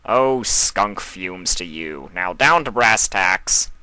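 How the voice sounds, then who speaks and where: Man voicing a bright, gleeful chaos spirit. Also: deep voice, expressive, slow pace, clear, resonant tone, dry recording, heavily acted. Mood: grudging. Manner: theatrical, mocking. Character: gleeful, flippant male chaos spirit, mischievous villain. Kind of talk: fan fiction character reading.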